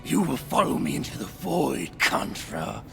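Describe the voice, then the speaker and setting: Super gravelly alien overlord villain from a game, pompous, swings wildly from whisper to roar, menacing. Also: male voice, big pitch swings, measured pace, music in the background, heavily acted. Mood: vengeful. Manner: theatrical, pompous oratory with hissed whispers and booming shouts. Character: grandiose alien warlord villain, menacing and zealous. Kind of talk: video game villain monologue.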